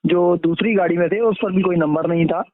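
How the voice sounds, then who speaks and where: A man giving a formal, somewhat halting interview account over a phone line. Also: sits high, crowd noise. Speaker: interview guest, activist. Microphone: phone call. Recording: muffled band-limited phone line.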